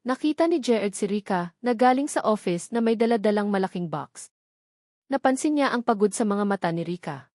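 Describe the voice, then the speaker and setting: A woman's voice: medium pitch, darkish tone, no echo, clean studio sound. A woman reading a scripted romantic drama as a story narrator.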